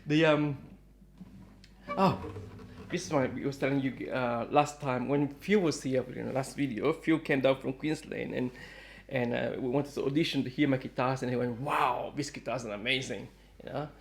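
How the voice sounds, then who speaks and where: Fifties male host, rambling and friendly, mid pitch, lots of fillers. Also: moderate pace. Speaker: guitar dealer and channel host. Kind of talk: casual chat to camera. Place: home in Melbourne, Australia.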